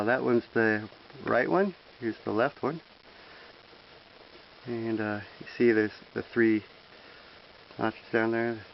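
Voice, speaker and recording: A man with a gravelly, creaky voice walks through a hands-on tutorial in plain, informal language. He explains things step by step. The handheld camera audio is dull and lo-fi, with a faint hiss behind him. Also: medium pitch. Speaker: presenter of a hands-on how-to video. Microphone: handheld camera microphone.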